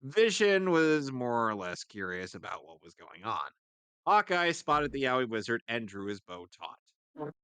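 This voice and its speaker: A man's voice: medium pitch, very animated, unhurried, pushed and bright, smooth, dry recording. A man narrating a comic script in a mock-dramatic way with asides, as a co-reader on a live stream.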